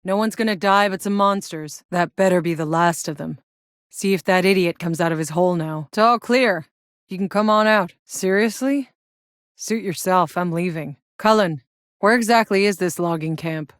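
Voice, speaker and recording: A woman's voice: lowish voice, normal speed, no room sound, close to an everyday voice. An actress playing a tough, weary, sardonic female soldier in a sci-fi video game, blunt and dry in manner. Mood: irritated, sardonic, then brisk, alert. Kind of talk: scripted video game character dialogue.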